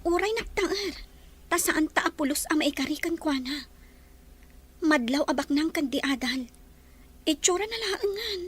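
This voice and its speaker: A woman's voice: high-pitched, lively intonation, normal speed, pauses often, a bit bright. A woman acting a modest, bashful young hotel cleaner in an Ilocano audio drama.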